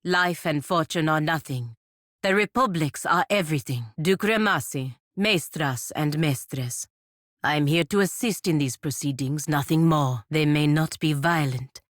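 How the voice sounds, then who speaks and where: A low-pitched stern game warrior woman, proud and dutiful. She speaks formally and with measured weight, as if every word is counsel or admonishment. The sound is dry and studio-clean. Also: no room sound. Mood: grave, frank. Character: proud, stern warrior knight sworn to her republic, woman. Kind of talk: video game character dialogue.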